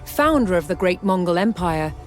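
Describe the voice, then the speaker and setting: Woman narrating history with measured drama. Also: lowish voice, expressive. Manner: measured, dramatic storytelling delivery with deliberate pauses. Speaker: documentary-style game narrator. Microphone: studio voice-over microphone. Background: music.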